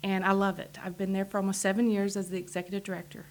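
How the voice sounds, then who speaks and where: A woman's voice: mid-range voice, monotone, fast, slight background noise. A woman from Texas with a Texan accent, answering interview questions as a political candidate in an earnest way. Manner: earnest, repeats short affirmations.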